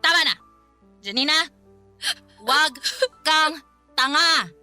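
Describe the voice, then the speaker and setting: A woman's voice: high-pitched, expressive, takes it slowly, pushed and bright, soft background music, exaggerated voice. A woman playing a protective, blunt best friend in a radio drama, scolding and consoling at once. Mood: firm, scolding.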